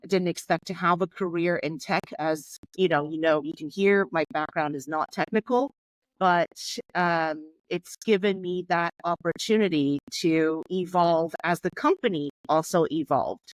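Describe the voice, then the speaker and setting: A woman's voice: fairly low pitch, moderate pace, no echo, poor audio. A woman in her forties, originally from Hong Kong, speaking as a podcast guest and telling her life story in a candid, reflective way. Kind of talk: conversational podcast interview.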